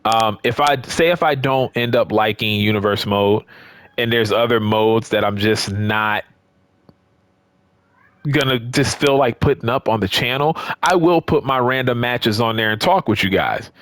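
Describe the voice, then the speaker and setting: A male YouTube host speaks off the cuff in a mid-pitched voice. He chats casually and directly to his viewers, looping back over phrases to stress them. The sound is dry, with very little room in it. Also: a little slow, a bit bright, no room sound. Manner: conversational, rambling, repeats phrases for emphasis. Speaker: YouTube host of a wrestling game and action figure channel. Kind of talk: casual unscripted chat to viewers.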